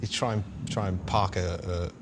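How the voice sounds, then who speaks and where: A man's voice: low-pitched, steady tone, measured pace, heavy background noise. A man talking casually in a discussion.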